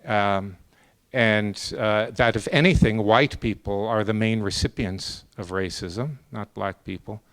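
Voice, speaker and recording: Slow, deliberate man making an argument. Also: fairly low pitch, fairly bright tone. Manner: deliberate, measured, breaking thoughts into short phrases. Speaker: professor on a debate panel. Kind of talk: extemporaneous academic argument.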